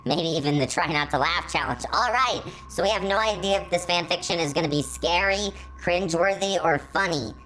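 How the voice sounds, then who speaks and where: A woman's voice: fairly low pitch, brisk, hardly any pauses, a bit bright, a little hiss or hum, rough recording. A woman hosting a story reading, narrating fan fiction and adding her own commentary. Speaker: story reader, channel host.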